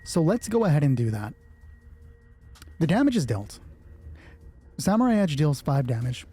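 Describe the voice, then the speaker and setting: A man with a husky voice narrating a solo tabletop roleplay as game master, casually thinking aloud and swearing freely as he reads out dice results. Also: fairly high pitch, very animated, normal speed, dark tone, faint music behind.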